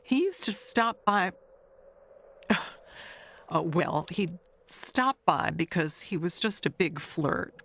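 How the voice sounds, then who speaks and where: A woman's voice: on the low side, expressive, slow pace, creaky, pauses often, fairly bright tone, heavily acted. A woman playing a chatty older neighbor, rambling and confiding in an audio mystery tale, coy and wistful. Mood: coy, flirtatious.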